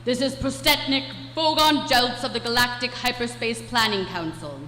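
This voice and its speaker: A woman's voice: fairly high pitch, normal speed, pushed and bright, faint music behind. A woman in a live radio play playing an officious, impatient alien commander making pompous, exasperated announcements. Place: live performance in a hall. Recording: echoey hall, faint noise.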